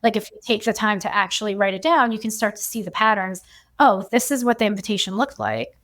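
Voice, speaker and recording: A woman's voice: medium pitch, expressive, fast talker, a bit bright. Chatty woman guest explaining her coaching. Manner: chatty, fills her speech with "like". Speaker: human design coach, guest. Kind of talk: casual podcast conversation.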